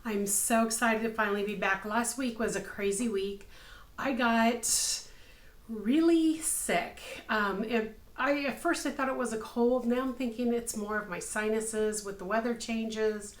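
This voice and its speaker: A woman in her fifties, slightly hoarse, hosting a casual craft podcast from her home craft room, upbeat and full of ums. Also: fairly high pitch, a little slow. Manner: chatty and upbeat, many ums. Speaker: podcast host, crochet designer. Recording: echoey room.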